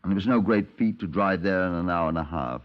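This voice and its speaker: An actor playing a laconic, matter-of-fact British detective sergeant in a 1950s radio drama, speaking in clipped, understated phrases. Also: male voice, sits low, a little fast, lightly acted. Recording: dull, reverberant 1950s radio recording.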